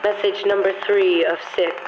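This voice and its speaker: An automated playback machine voice in a video game, announcing numbered messages in a flat, formulaic way. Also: mid-range voice, moderate pace, natural voice. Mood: impersonal. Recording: muffled, radio-like, under loud music.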